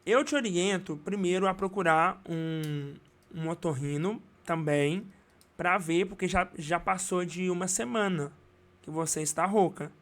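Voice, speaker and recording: A man with a Brazilian Portuguese accent teaching singing in a live online class, talking casually with many restarts and quick checks. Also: high voice, fairly bright tone. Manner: casual and rambling, many restarts and tag questions.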